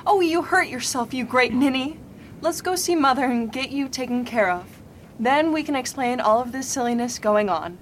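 Woman as high-voiced young woman, scolding, radio play. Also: moderate pace, slight background noise, lightly acted. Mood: fretful. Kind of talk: amateur radio drama acting.

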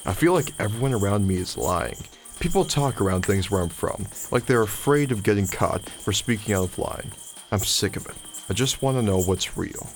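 A man's voice: on the low side, animated, normal speed. A young man playing an earnest, skeptical college freshman in an audio drama, casual, awkward and frank. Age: young adult. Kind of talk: naturalistic audio drama acting.

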